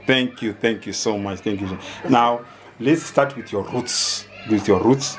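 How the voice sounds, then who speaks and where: A man hosting an interview, introducing and questioning in a formal, deliberate, halting way. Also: lowish voice. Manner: deliberate, halting, ceremonious phrasing. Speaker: host interviewer.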